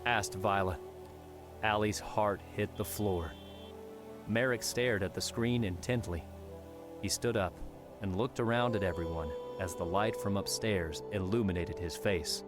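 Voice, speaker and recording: Low-voiced male audiobook narrator. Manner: measured, dramatic. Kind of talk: scripted narration. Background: music score.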